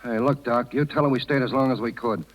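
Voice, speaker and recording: An actor playing a plain-spoken, protective circus man with rough grammar in a 1930s radio drama. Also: mid-range voice, fast talker, natural voice. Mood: apologetic. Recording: muffled, hissy 1930s radio broadcast.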